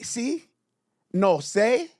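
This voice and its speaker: Animated mocking male commentary host. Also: high voice, very slow, no echo, clean studio sound. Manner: mocking, exclaims loudly, slangy.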